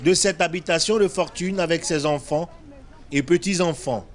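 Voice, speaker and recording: A man reading a scripted news voice-over in a measured, formal way. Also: a little high. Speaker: news reporter.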